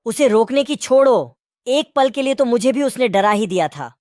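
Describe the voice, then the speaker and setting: A woman plays a level-headed jackal in a cartoon moral tale. The voice is fairly high, curious and reasoning, with a close, dry sound. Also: expressive, moderate pace, warm tone, dry recording, lightly acted. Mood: explanatory. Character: sensible, questioning male jackal in a forest. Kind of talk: children's animated moral story dialogue.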